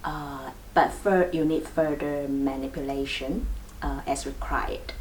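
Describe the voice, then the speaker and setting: A woman's voice: low-pitched, steady tone, unhurried. A woman acupuncturist hosting a clinic video, patiently explaining needles step by step with light laughs. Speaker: acupuncturist and host. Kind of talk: explanatory demonstration. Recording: distant mic, faint room noise.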